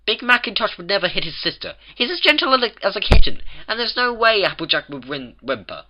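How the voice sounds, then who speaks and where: A man voicing a fussy, anxious female unicorn scholar who keeps objecting in rapid exasperation. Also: sits high, very animated, dull audio, noisy background, pitched above the performer's own voice. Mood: exasperated.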